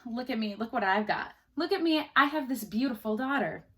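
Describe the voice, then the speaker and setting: A woman's voice: fairly high pitch, expressive, fairly quick, breathy edge. A woman voicing a proud, boastful poor miller in a storybook read-aloud, bragging eagerly.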